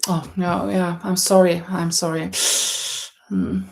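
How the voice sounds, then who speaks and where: A woman with a Norwegian accent and a noticeably creaky voice hosts a casual livestream. She talks very slowly in a lowish register, rambling and hesitating as she replies to viewers. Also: darkish tone. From Norway. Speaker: livestream host. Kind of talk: casual chat while knitting, reading out viewer comments. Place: indoors at home in a holiday stay.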